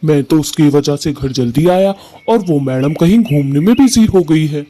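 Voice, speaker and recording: A man plays a devoted male bird in a family cartoon. His voice is mellow and soft-edged, pitched a little high for a man, with an earnest, affectionate delivery. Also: expressive, normal speed, lightly acted. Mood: surprised. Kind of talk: Hindi family cartoon story acting.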